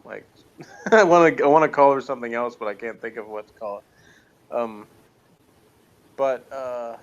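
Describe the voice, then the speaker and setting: A man's voice: medium pitch, a little slow, smooth. A man giving his opinions in a casual review chat, informal and hesitant, often interrupting himself, on a lo-fi recording. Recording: dull, lo-fi voice recording.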